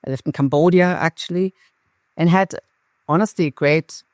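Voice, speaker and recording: A middle-aged woman with a German accent, a marketing executive talking candidly as an interview guest, lively and self-deprecating with frequent restarts. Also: sits low, normal speed, no room sound, clean studio sound.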